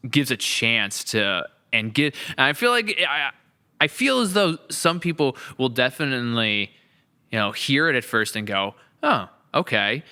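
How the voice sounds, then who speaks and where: A man's voice: medium pitch, sing-song, measured pace, pushed and bright, clear, resonant tone. A man hosting a casual podcast interview, talking in a wordy, thoughtful, rambling way. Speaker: podcast host and series writer.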